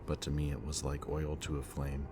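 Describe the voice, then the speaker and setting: A man playing a bitter, remorseful villain in an audio roleplay, confessing in a low, intimate voice that sometimes drops to a whisper. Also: moderate pace. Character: remorseful bitter male villain, young adult. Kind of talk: audio roleplay addressed to the listener. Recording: steady ambient background noise.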